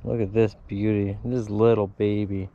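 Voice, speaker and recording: A man's voice: on the low side, level tone, moderate pace. A man chatting to viewers while fishing at a pond, calling each cast and bite as he goes. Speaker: fishing vlogger. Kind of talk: casual play-by-play while fishing. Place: outdoors by a pond. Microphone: head-mounted action camera. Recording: dull lo-fi outdoor camera audio.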